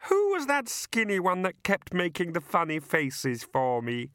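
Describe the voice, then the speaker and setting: A man's voice: sits high, huge pitch swings, measured pace, fairly bright tone, no room sound, voice pushed up, full character voice. A man voicing a timid, tearful female fairy in an audiobook, meekly polite, hesitant and self-deprecating. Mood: grateful, meek.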